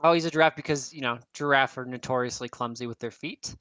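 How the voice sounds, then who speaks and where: A man's voice: medium pitch, fast talker, a bit bright, no echo. A man bantering casually as a podcast co-host, riffing playfully.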